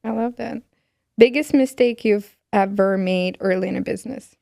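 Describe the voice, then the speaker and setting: A woman's voice: mid-range voice, moderate pace, vocal fry, dark tone, dry recording, clean studio sound. A woman from Russia hosting a podcast, asking questions in a chatty, encouraging way. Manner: chatty, encouraging, frequent backchannels. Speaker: podcast host. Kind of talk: casual interview questions.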